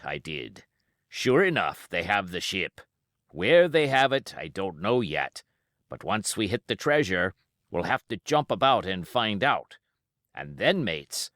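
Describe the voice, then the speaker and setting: A man voicing a cunning, smooth-talking pirate with folksy, ungrammatical seafaring speech in an audiobook. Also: low voice, a bit bright, no room sound, clean studio sound, exaggerated voice. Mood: boastful, rallying. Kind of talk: audiobook character dialogue.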